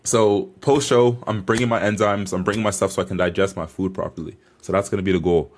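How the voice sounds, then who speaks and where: A man's voice: low voice, steady tone, fast talker. A young man vlogging as a bodybuilder, chatting casually to camera in a hyped, informal, slangy way.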